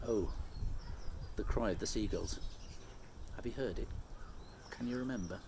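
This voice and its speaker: A man reading a children's story aloud like a teacher, in an expressive storytelling style. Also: lowish voice, measured pace, gravelly, noisy background.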